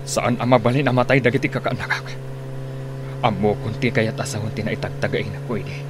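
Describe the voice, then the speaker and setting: A man's voice: mid-range voice, little pitch movement, a little fast, natural voice. An actor playing a brooding, haunted man in a troubled radio drama soliloquy. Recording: loud engine-like hum behind.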